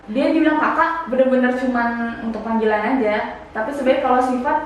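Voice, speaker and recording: A woman from Jakarta answering interview questions softly and hesitantly, with many pauses and fillers. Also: high-pitched. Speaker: guest. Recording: echoey room, faint noise.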